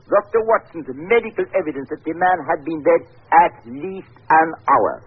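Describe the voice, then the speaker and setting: A man's voice: on the high side, expressive, moderate pace, husky. A man playing a self-important French police inspector in a 1940s radio drama, pompous and protesting, with a French accent. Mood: huffy, exasperated. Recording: muffled old radio recording.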